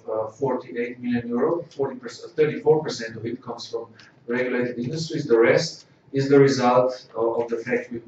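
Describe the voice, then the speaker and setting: A man from Slovenia giving a corporate results presentation, measured and full of filler "uh"s. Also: medium pitch. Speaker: company executive presenting results. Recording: echoey hall, distant dull mic, faint noise.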